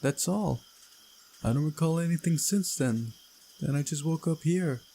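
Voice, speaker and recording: A man voicing a confused, groggy young man from a visual novel, speaking in a halting, bewildered way. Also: a little high, vocal fry, warm tone, a little hiss or hum, pitched above the performer's own voice.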